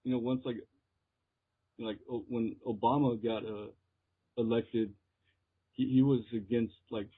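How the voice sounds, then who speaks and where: A man from Texas with an American accent, chatting casually and haltingly as he is interviewed as a musician. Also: medium pitch, steady tone, husky. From Texas, United States. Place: remote call. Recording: muffled, tinny phone line.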